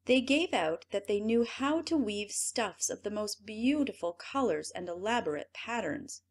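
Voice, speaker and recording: A native English-speaking woman narrating a fairy tale audiobook in an expressive, measured way. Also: mid-range voice. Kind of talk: storybook narration.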